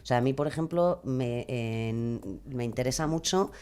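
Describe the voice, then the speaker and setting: A woman with a Spain Spanish accent explaining her craft in a casual, expressive way as a podcast guest. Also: very deep voice, normal speed, clear, resonant tone. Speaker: podcast guest, true crime narrator and creator.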